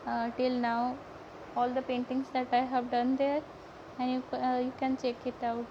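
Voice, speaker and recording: High-voiced young Indian woman, noisy lo-fi audio. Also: monotone, unhurried. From Odisha, India. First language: Odia. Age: young adult. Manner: hesitant, stumbling, repeats words. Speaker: art live-stream host and painting teacher. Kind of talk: casual live painting tutorial with chat. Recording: dull lo-fi audio with heavy background noise.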